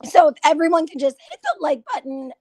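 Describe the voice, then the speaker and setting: Hoarse high-voiced woman hosting a live stream. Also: sing-song, a little fast, mellow, no room sound. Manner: chatty, confiding, with frequent throat clearing. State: hoarse from laryngitis. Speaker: gossip channel host. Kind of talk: casual live-stream chat.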